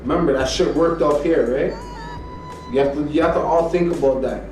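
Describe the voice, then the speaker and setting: An argumentative man presses his point hard, swearing and circling back to the same lines. His voice sits at an ordinary middle pitch. He is recorded in a very echoey room, a bit away from the mic, with faint music under him. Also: moderate pace, a little mellow, recorded from a distance. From Canada. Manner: insistent, argumentative, swears often, repeats "you know what I mean". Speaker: podcast co-host. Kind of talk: heated casual debate.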